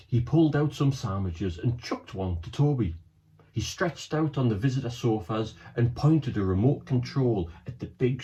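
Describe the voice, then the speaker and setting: A man's voice: mid-range voice, warm tone, rough recording. A man, a children's author reading his book aloud to young listeners, with chatty asides.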